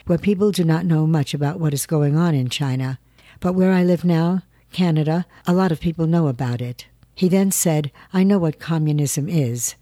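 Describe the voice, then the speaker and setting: A narrator reading scripted news in a calm, steady way. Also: mid-range voice, no echo.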